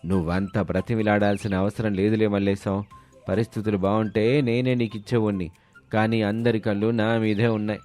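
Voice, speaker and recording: A man playing a worried, reluctant village shopkeeper in an animated moral story. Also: on the low side, moderate pace, soft background music, natural voice. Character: worried village toddy-shop keeper.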